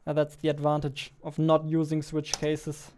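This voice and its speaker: A man in his twenties talking casually as a game developer while coding, halting as he works at his desk. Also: fairly high pitch, completely flat delivery, darkish tone, a little hiss or hum. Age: 20s. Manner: halting while typing, reads text aloud slowly. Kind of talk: casual narrated coding livestream or devlog. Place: indoors, at a computer desk. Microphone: studio mic on boom arm, close.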